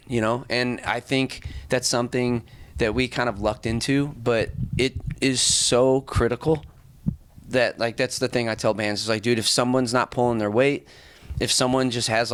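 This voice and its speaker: A man's voice: medium pitch, a little hiss or hum. A man in a band, speaking earnestly in a rambling, casual way and swearing now and then. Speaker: band member. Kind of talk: casual podcast chat.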